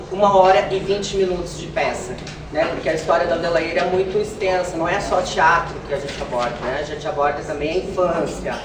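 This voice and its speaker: A Brazilian man from a theatre company, explaining their work in a conversational interview. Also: high voice. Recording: roomy, distant mic, noisy background.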